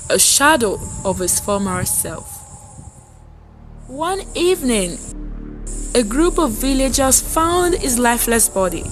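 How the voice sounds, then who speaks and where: A woman narrating a scripted, dramatic folktale as a storyteller, in a measured, solemn manner with deliberate phrasing. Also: fairly high pitch, rough recording.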